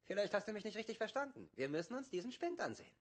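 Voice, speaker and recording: An actor dubbing a brash, cocky male cop with a loud, boastful, yelling manner, in a film. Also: sits high, sing-song, racing. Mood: bossy. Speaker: loud fast-talking detective. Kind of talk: film dub acting. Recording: film soundtrack with music behind.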